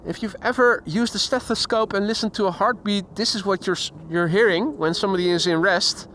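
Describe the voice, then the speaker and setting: High-voiced Dutch man commentating outdoors. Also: a little fast, fairly bright tone, slight background noise. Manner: chatty, reads chat aloud, imitates sounds. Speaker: plane spotting livestream host. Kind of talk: casual live commentary. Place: outdoors beside an airport. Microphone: handheld shotgun mic.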